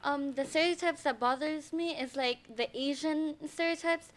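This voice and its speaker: A woman's voice: high voice, normal speed, a little hiss or hum. A young woman from the Philippines, a student, giving an informal personal account in a youthful way, acting out quotes. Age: young adult.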